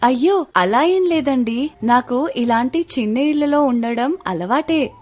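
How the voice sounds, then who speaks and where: High-voiced gentle young daughter, acted by a woman. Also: lively intonation, a little slow. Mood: hesitant acceptance. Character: dutiful young poor daughter, gentle and hesitant. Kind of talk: dramatized moral storytelling.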